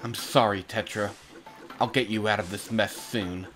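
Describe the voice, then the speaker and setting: A man's voice: fairly low pitch, steady tone, normal speed, fairly bright tone, a little hiss or hum, close to an everyday voice. A man voicing a jaded, sarcastic young adventurer in a cartoon parody, with a dry, casual manner. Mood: earnest.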